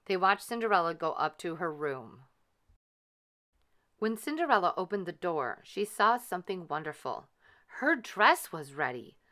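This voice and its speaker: A woman narrates a children's story with deliberate, expressive phrasing. Her voice is bright and slightly low, with a very lively melody and an unhurried pace. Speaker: storybook reader.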